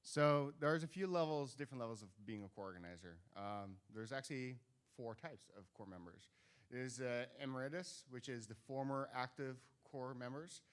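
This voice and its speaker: A man's voice: medium pitch, normal speed, clean tone. A Dutch man co-chairing a conference, talking casually and joking with a live audience on stage. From Netherlands. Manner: chatty, self-deprecating, joking asides.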